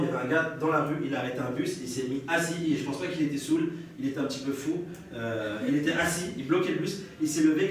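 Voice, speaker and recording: A young man with a French accent doing live stand-up storytelling, chatting and bantering with the audience and laughing often. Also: fairly high pitch. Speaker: stand-up comedian. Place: live comedy stage before an audience. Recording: echoey live room, distant mic, audience noise.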